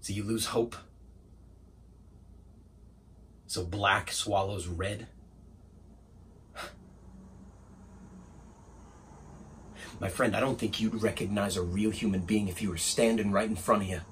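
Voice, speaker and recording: Man acting a bitter, wounded young assistant, bright-voiced. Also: high voice, lively intonation, normal speed, slight background noise. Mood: bitter, subdued. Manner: bitterly sarcastic, repeats phrases emphatically. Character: angry, wounded young male painter's assistant confronting his boss. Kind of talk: dramatic stage monologue acting.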